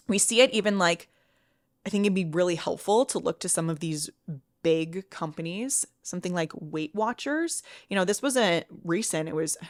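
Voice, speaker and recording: Chatty woman podcaster with a cold. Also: lowish voice. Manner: chatty, many "like"s and restarts. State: sniffly from a cold. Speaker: podcast host and fitness coach. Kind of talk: solo podcast talk.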